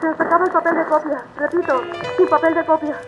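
An actress playing an urgent telegraph operator in a radio drama, clipped radio talk. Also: female voice, very high-pitched, steady tone, fast, dull audio, music in the background.

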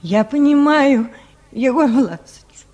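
An actress in a radio drama playing a meek, lovelorn peasant wife, plaintive and halting in her speech. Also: sits high, lively intonation, darkish tone. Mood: tearful, pleading. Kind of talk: Russian radio drama acting.

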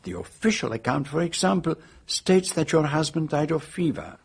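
A polite crafty belgian detective, french accent, bit husky, flowery way of talking, radio play. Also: male voice, on the high side, full character voice. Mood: smoothly probing. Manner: formal, florid, deliberate phrasing. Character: courtly, sly Belgian detective, probing and theatrical. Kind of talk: radio drama acting.

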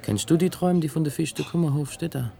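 An actor playing a poor, brooding country seer in a radio play, speaking southern German rural dialect in an insistent, incantatory, earthy way. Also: male voice, fairly high pitch, fast, creaky, mellow. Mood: brooding warning.

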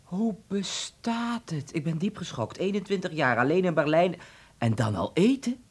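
A woman's voice: fairly low pitch, big pitch swings, moderate pace, slightly rough. An actress playing a blunt, mocking woman who speaks sarcastically and dismissively to her family in a radio drama. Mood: mock shocked. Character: sarcastic, dismissive female relative, mocking the worrier.

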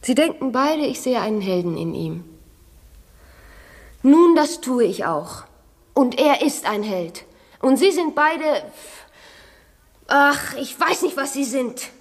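Husky, proud young lady in reverb. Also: sits high, very animated, takes it slowly, pauses often, exaggerated voice. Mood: indignant. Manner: imperious, outspoken. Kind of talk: German radio play acting.

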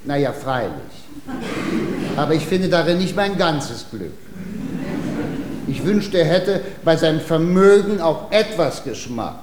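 An actor playing an earnest, high-minded dancing master with airs, speaking in a pompous, florid way in a German radio comedy. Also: male voice, expressive, measured pace, long pauses, echoey room, noisy background. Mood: principled, earnest. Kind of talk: German radio play, theatrical comedy acting.

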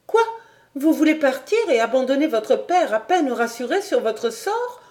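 A woman's voice: sits high, expressive, normal speed, darkish tone, voice pushed up. Woman reading as an anxious pleading young noblewoman. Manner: urgent, imploring.

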